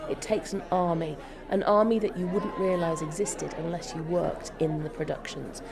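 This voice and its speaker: A woman's voice: on the low side, fairly even intonation, moderate pace, gravelly. A woman giving an effusive, emphatic interview as a company executive. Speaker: interview guest, joint chief executive of a theatre company. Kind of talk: enthusiastic interview talk. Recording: busy crowd chatter behind voice. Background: event crowd.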